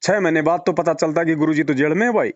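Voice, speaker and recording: A man's voice: on the high side, fast talker, dry recording. A man arguing persuasively on a phone call, firing rhetorical questions and repeating words for emphasis. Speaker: religious devotee speaking to a follower. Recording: dull lo-fi phone call recording.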